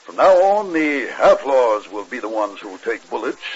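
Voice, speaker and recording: A railroad president with a very rough, husky voice and expressive intonation. He is calm, authoritative and businesslike, heard on a muffled old radio recording. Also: mid-range voice. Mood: confident, resolute. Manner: measured, businesslike, reassuring. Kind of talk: 1950s radio drama acting.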